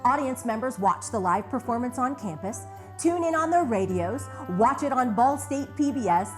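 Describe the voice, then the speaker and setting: An American woman presents a scripted program as host. Her voice sits a little high and moves with lively intonation. Music plays clearly behind her. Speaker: host of a radio drama retrospective, voice actor.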